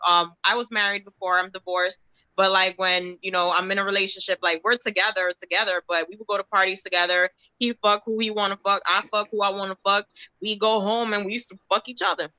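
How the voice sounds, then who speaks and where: A woman's voice: mid-range voice, sharp tone, dry recording, highs cut off. A woman with a Dominican accent, a guest speaking bluntly and profanely in casual chat.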